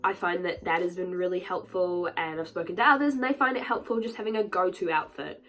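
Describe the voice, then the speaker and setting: A woman's voice: on the low side, fairly quick, fairly bright tone. A young woman with a stuffed-up nose from a cold, chatting earnestly to camera with a lot of "like" in her talk. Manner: chatty and earnest, many "like" fillers. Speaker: vlogger. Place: sunny room at home. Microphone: camera a little way back.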